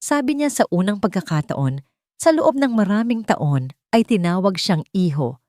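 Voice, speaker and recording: A woman's voice: medium pitch, big pitch swings, normal speed, mellow, no room sound, studio quality. A woman with a Filipino accent narrating a scripted dramatic story.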